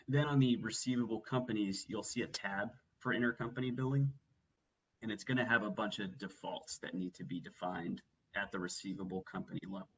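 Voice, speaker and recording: Low, husky man presenting training. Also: brisk, a bit bright, low-quality recording. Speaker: software trainer presenting a webinar. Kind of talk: instructional software walkthrough, semi-scripted presentation.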